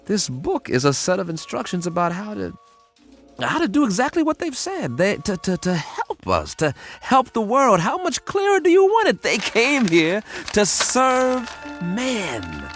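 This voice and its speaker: A man's voice: sits high, wildly swooping pitch, measured pace, fairly bright tone, full character voice. A man playing a wry, optimistic government codebreaker who also narrates a radio drama, with a folksy, persuasive manner. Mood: exasperated. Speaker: lead actor and first-person narrator. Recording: music underneath.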